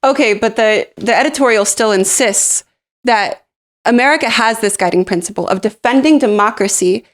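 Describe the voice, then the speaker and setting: A woman's voice: a little high, moderate pace. A woman co-hosting a political show in a scripted back-and-forth commentary.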